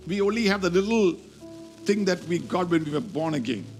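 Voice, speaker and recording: A man's voice: sits high, animated, brisk, a little mellow, soft background music. A man preaching a sermon, emphatic and repeating key phrases as he teaches from scripture. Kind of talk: sermon with scripture reading and call-and-response.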